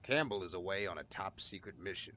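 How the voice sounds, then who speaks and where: Pompous, swooping-voiced military general. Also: male voice, sits low, animated, a little fast, sharp tone, slight background noise. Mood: guarded, grudging. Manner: pompous, blustering. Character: pompous middle-aged male general, irritable and evasive. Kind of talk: radio drama acting. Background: faint music.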